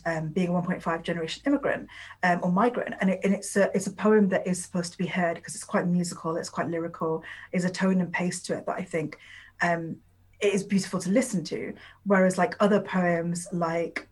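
A woman whose first language is Urdu, speaking as a poet in a conversational interview and giving long, rambling answers full of filler words. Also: on the low side.

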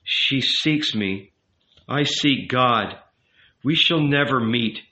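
A man voicing a tormented young man in an audiobook, bitter and ashamed, speaking with halting, brooding intensity. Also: medium pitch, bright, forceful tone. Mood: defiant, burning. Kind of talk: dramatic audiobook character reading. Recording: roomy, echoing, slightly dull sound.

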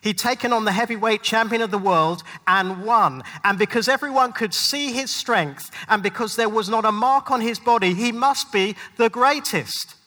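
Male preacher, forceful bright tone, rhetorical sermon with weighty pauses, recorded in a big echoing hall. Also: high voice. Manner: rhetorical, emphatic, with dramatic pauses. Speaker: pastor, guest preacher. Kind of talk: sermon to a live congregation. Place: large hall at a live church event. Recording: long hall reverb.

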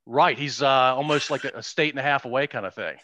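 Male podcast host in his 50s, friendly and halting, crisp bright tone, dry room. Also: medium pitch, dry recording. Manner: friendly, hesitant with fillers. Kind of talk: casual interview chat.

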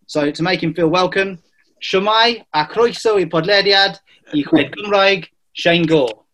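A man with a Welsh accent hosts a podcast in a relaxed, joking way, his voice pitched a little high. He stumbles now and then over his questions but keeps the banter going. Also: measured pace. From Wales. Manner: jokey, hesitant with fillers. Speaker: podcast host. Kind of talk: casual podcast chat.

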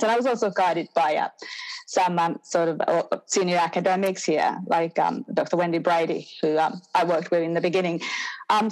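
Finnish-accented woman, halting academic talk. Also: mid-range voice, a little mellow, rough recording. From Finland. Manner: halting, thoughtful phrasing. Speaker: academic guest, historian. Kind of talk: conversational interview answers.

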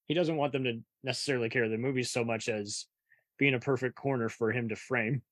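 A man's voice: medium pitch, fairly even intonation, fast talker, a bit bright, no echo. Man interviewing casually, close dry sound. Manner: rambling, opinionated, film-buff commentary.

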